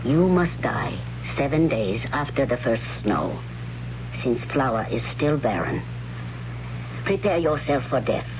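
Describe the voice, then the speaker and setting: A performer playing a stern, devout priestess in a 1970s radio drama, speaking in a formal, measured, solemn manner. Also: mid-range voice, big pitch swings. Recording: muffled, noisy 1970s radio recording.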